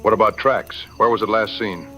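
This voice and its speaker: A man plays a determined frontier tracker in a radio play. The voice is low-pitched and forceful, folksy and plain-spoken, with music underneath. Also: fairly even intonation, moderate pace, lightly acted. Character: resolute frontier hunter and tracker, plain-spoken folk hero. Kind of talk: audio drama acting. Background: music and night crickets.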